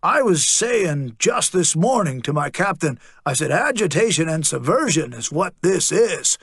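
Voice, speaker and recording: A coarse, servile male sergeant with a rough way of talking, bowing and scraping to his betters, as a storybook narrator puts him on. His voice sits a touch high and is recorded close and dry. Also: very animated, unhurried, fairly bright tone, no room sound, full character voice. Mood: placating. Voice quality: rough, coarse working-class speech. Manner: servile, placating, wheedling. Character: fawning rough-spoken male city watch sergeant.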